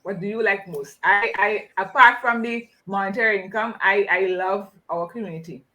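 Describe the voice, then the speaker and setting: Woman, Trinidadian accent, bright forceful voice, effusive chat host, repeats phrases for emphasis. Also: medium pitch, normal speed, lo-fi sound. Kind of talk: casual live chat interview.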